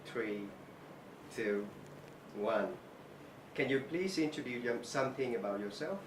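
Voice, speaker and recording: A man's voice: medium pitch, lively intonation, measured pace, recorded from a distance, noisy background. A man asking interview questions in a plain, slightly stiff way. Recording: roomy, off-mic voice.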